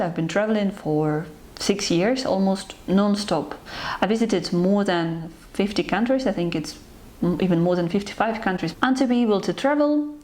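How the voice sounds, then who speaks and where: A young woman from Ukraine, hosting her own channel, talking to her viewers about herself in an unhurried, earnest, slightly hesitant way. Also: mid-range voice, a little mellow. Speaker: channel host. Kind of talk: personal talk to camera.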